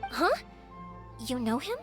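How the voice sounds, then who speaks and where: Bubbly young game girl, high voice, super lively and friendly. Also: slow, music in the background, exaggerated voice. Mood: hesitant. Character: cheerful, energetic young woman, a fireworks maker. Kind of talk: video game voice acting.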